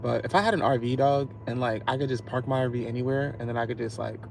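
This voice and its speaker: A man livestreaming from inside his car, talking to his chat in a laid-back way with mumbled asides that turn hyped now and then. His voice has a gravelly edge at mid pitch, and faint road noise hums underneath. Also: quick pace, darkish tone. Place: in a car at a drive-through.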